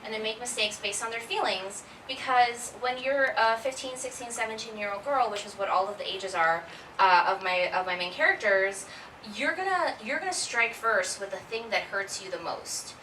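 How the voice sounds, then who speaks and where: A woman's voice: on the high side, moderate pace, bright, forceful tone, slight background noise. A woman born in Ecuador and raised in Queens, speaking as an author on a panel, chatty and thinking aloud. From Ecuador, raised in Queens, New York. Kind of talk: casual panel talk. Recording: echoey room, from a distance.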